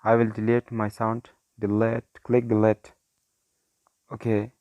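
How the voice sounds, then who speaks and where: A man who is a non-native English speaker, hosting an informal software tutorial in simple, short, halting phrases. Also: fairly low pitch, monotone, no echo, dull audio. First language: not English. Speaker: tutorial host.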